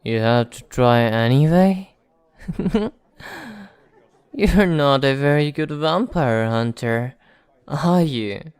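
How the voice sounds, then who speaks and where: Slow, smooth-voiced sly male vampire played by a man. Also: a little high, expressive, darkish tone, dry recording. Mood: teasing, mocking. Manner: slow, deliberate, mocking, condescending. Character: sly, teasing male vampire posing as small-town informant. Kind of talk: ASMR roleplay monologue to the listener.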